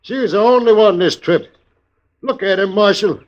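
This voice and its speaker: A wounded male stagecoach driver played by an actor with a fairly high voice, blunt and indignant. It is old western radio acting, with slightly muffled sound. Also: normal speed, close to an everyday voice. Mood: bitter, hurt. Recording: dull vintage radio recording.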